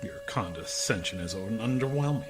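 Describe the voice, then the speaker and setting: A man's voice: lowish voice, animated, moderate pace, over music, close to an everyday voice. A man playing a suspicious, sardonic and defiant military commander in a science fiction radio play, impatient and dryly sarcastic. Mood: indignant.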